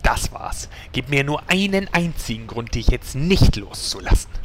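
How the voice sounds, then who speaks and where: A man playing a grumpy, exasperated boss with a menacing deadpan, in a German comedy audio drama. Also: medium pitch, moderate pace, background noise, natural voice. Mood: exasperated, threatening.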